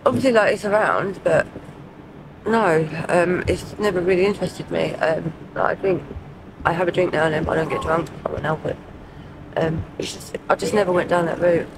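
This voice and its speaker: South London woman over clear street noise. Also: lowish voice, steady tone, normal speed. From Croydon, South London, England. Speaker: homeless interviewee. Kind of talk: subdued street interview. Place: outdoors in a city doorway at night. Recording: noisy street with traffic ambience.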